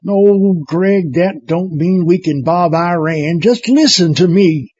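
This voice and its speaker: A man's voice: sits high, slow, a little mellow, husky, no room sound, exaggerated voice. A man playing a folksy, exasperated political adviser with a drawl in a comedy audio drama. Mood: exasperated, urgent. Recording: dull lo-fi, faint music behind.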